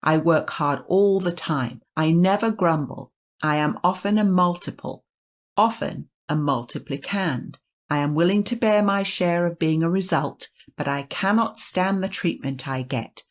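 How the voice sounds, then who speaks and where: A woman voicing a melodramatic male number seven who complains of his ill treatment, speaking in a raised, plaintive voice. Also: low-pitched. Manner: plaintive, declamatory.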